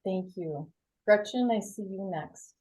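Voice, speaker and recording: A woman's voice: mid-range voice, measured pace, mellow, poor audio. A woman hosting a committee meeting on a video call, calling on each member by name. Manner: friendly, calls on people by name. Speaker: meeting host.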